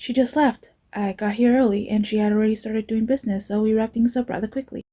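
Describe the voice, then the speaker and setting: A businesslike game character , voiced by a woman, with a very muted, dark and husky voice. She gives reports in a measured, serious way. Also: a little high, steady tone, lightly acted. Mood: serious, factual. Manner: measured, matter-of-fact. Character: serious, businesslike young informant reporting findings. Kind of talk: amateur fan voice-over of game dialogue.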